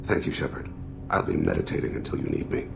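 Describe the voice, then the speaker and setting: An actor playing a solemn, regretful alien assassin and father in a video game, speaking in measured, formal, introspective phrases with a gravelly voice. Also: very noisy, lightly acted. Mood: quiet, troubled. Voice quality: very deep, heavily gravelly. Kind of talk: video game dramatic dialogue.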